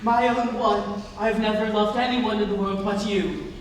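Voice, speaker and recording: Young man acting nervous gentleman in love, light high-pitched male voice, earnest, hesitant, stage comedy, far-off in reverberant hall. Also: steady tone, moderate pace, natural voice. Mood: ardent, nervous. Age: young adult. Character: earnest, nervous gentleman suitor aged twenty-nine. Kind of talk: stage dramatic reading of Victorian comedy. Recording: distant echoey hall, faint background noise.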